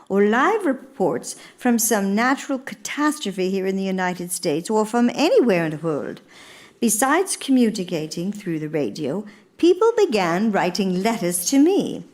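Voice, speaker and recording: A woman's voice: fairly low pitch, a little mellow, lightly acted. An actress playing an earnest, gracious elder First Lady in a radio play, speaking formally and with theatrical emphasis. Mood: reminiscing. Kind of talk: radio theater monologue and dialogue.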